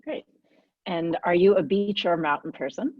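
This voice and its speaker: Guest host, woman, husky, brisk and friendly, lo-fi dry call audio. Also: fairly low pitch, dry recording. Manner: friendly and brisk, with light laughs. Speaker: guest host, writer and editor. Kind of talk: casual interview questions.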